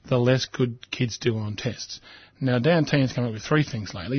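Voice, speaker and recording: Hoarse Australian man, talk radio host, husky and dry, lo-fi sound. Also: medium pitch, no room sound. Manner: hesitant, many ums, repeats words. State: hoarse, croaky voice. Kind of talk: casual talk radio commentary.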